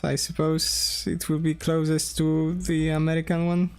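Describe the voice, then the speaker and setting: A man from Poland with a Polish accent, talking casually as he draws on a stream, slowly thinking aloud with pauses and restarts. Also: on the high side, completely flat delivery, creaky, darkish tone, smooth. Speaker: streamer and game developer. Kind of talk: casual drawing stream talk.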